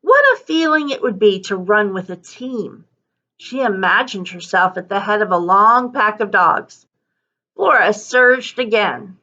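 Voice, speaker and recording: Bright, slow-reading woman storyteller. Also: medium pitch, lively intonation, muffled recording. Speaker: teacher reading a book aloud. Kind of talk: expressive children's story narration.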